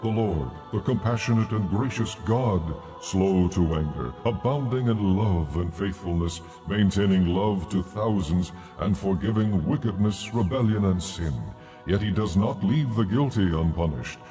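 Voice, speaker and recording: A very deep, solemn God. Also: male voice, animated, mellow, soft background music. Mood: majestic proclaiming. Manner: grave, deliberate, authoritative. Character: God, commanding, solemn, stern divine lawgiver. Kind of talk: dramatized audio Bible acting.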